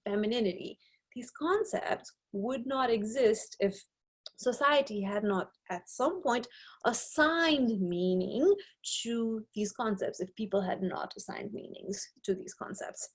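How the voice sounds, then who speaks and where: Lively, husky-voiced woman lecturing. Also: fairly high pitch. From Nepal. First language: not English. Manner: explanatory, frequent tag "right?". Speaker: sociology lecturer. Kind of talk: recorded slide lecture. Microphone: webcam on a video lecture.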